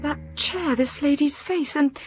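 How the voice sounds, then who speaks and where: High-voiced anguished young governess. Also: female voice, soft background music. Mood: dazed, fragile. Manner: breathy, halting, impassioned delivery. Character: anguished, feverish young English governess, melancholy and intense. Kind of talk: literary audio drama acting.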